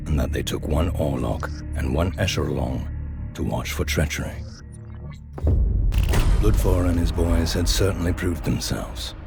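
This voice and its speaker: Game narrator: extremely low voice, knowing and sardonic, measured dramatic delivery over loud music. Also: male voice, vocal fry, heavily acted. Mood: wry, ominous. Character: knowing, sardonic male storyteller of underworld gang wars. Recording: music under the voice.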